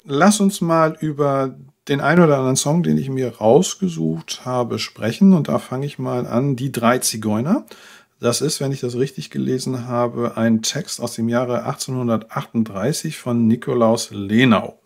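A man's voice: mid-range voice, normal speed, gravelly. A man, hosting a show and putting conversational questions to an interview guest. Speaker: radio show host, interviewer.

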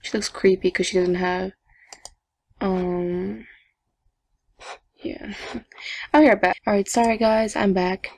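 A young woman chatting casually to viewers while she builds a game avatar, talking to herself with giggly asides in a low evening voice. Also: flat delivery, slow pace, gravelly, long pauses, no room sound. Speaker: gaming vlogger. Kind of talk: casual screen-recording commentary.

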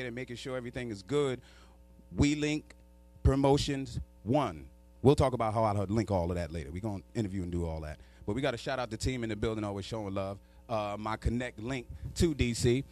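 Loud animated stand-up comedian, man. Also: medium pitch. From New York. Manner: loud, animated, shouts punchlines. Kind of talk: stand-up routine. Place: small studio with sponsor backdrop. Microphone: handheld microphone.

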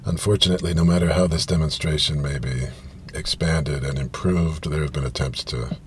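A man in his fifties with a gravelly voice gives a halting, deliberate literary reading, pausing and repeating himself. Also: extremely low pitch. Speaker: reader. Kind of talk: literary reading of science fiction prose. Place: inside a parked car, small space. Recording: steady ambient noise of car interior.

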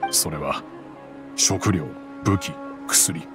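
A kind middle-aged father with a lowish, steady voice. He speaks in a calm, reflective way, full of quiet regret, as faint game music plays underneath. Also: very slow. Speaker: wealthy father recounting the past. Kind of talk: game cutscene voice acting.

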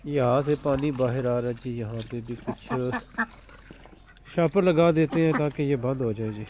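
A man casually narrating a village vlog about his ducks, in a relaxed, chatty way. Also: medium pitch, normal speed, a little hiss or hum. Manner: relaxed, chatty, says "ji" often. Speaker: vlogger. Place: outdoor village yard with ducks.

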